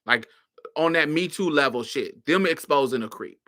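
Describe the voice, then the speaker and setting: A woman's voice: sits low, animated, normal speed, a bit bright. A woman hosting a podcast, giving a casual monologue in a sassy, blunt way. She swears freely and laughs often. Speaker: podcast host.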